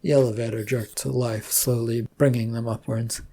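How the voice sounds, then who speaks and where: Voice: mid-range voice, level tone, moderate pace, warm tone, slightly rough. A narrator reading scripted fiction as a storyteller.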